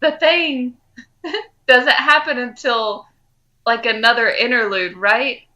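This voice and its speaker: A woman's voice: on the high side, takes it slowly, sharp tone. A woman co-hosting a podcast in casual fan chat. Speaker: podcast co-host.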